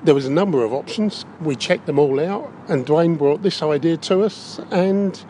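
A man's voice: fairly high pitch, moderate pace, mellow. A British man, a council leader, answering interview questions in a plain, matter-of-fact way. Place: inside a circus big top tent. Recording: noisy location recording inside big tent.

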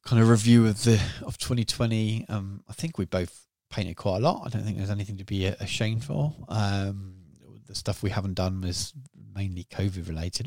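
A man's voice: deep voice, a little mellow, dry recording, clean studio sound. A man co-hosting a hobby podcast, talking casually and rambling with many ums and restarts. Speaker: podcast co-host.

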